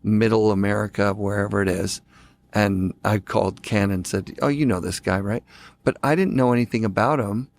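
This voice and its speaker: A man's voice: on the low side, steady tone, gravelly. A man hosting a podcast, chiming in eagerly and asking loose, friendly questions. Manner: eager, chimes in with quick agreement. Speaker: podcast host. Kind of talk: casual interview chat.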